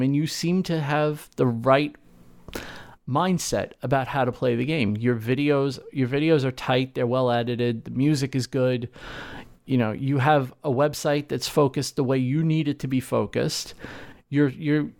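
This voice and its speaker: A man's voice: mid-range voice, darkish tone. A man as a podcast guest in casual conversation, speaking in a frank, direct and encouraging way.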